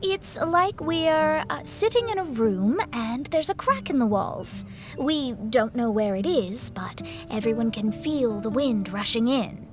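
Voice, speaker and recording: A woman's voice: on the high side, animated, a little slow, over music. A woman voicing a proud young female astrologer in an anime-style video game, articulate, earnest and slightly haughty. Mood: explaining.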